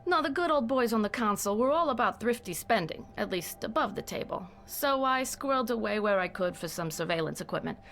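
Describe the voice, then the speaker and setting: A woman's voice: mid-range voice, lively intonation, fairly quick, vocal fry, hardly any pauses, a bit bright. An actress playing a wry, tough small-town policewoman in a video game, plain-spoken and folksy. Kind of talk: video game character monologue.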